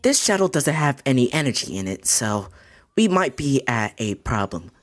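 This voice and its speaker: A man's voice: mid-range voice, very animated, normal speed, natural voice. A man voicing a flippant, teasing female cartoon bat in a breezy amateur comic voice-over.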